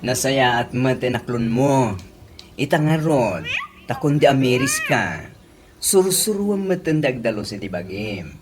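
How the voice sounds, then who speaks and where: A woman's voice: very deep voice, lively intonation, unhurried, background noise. A woman with an Ilocano accent playing a sardonic, chiding woman in a comedy radio drama. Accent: Ilocano, from the Philippines.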